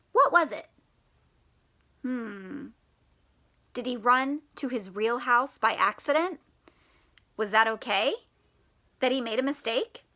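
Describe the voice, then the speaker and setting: A woman teacher reading a story aloud to children in an animated, encouraging way, often asking them questions. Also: high-pitched.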